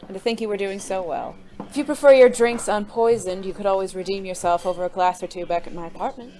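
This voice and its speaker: A woman's voice: medium pitch, fairly even intonation, fast, dark tone, lightly acted. A woman playing a suave, smug male murder suspect in a noir audio drama, arch and refined, flirtatious with an edge of danger. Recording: faint music behind the voice.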